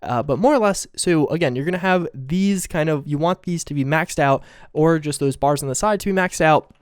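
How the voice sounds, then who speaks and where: A man's voice: on the high side, a little fast, clean tone, no room sound, clean studio sound. A young man, a bit sick and losing his voice, explaining a game mod in a rambling, casual way. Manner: rambling and explanatory, with fillers and restarts. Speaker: gaming video host.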